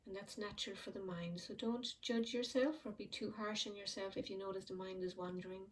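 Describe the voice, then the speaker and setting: A woman leads a calm mindfulness meditation in a mid-range voice. She speaks softly and slowly, letting long pauses hang between phrases. Her voice sits a little back from the microphone. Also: mid-range voice, far from the mic. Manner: slow, soft and soothing, with frequent pauses. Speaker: meditation teacher.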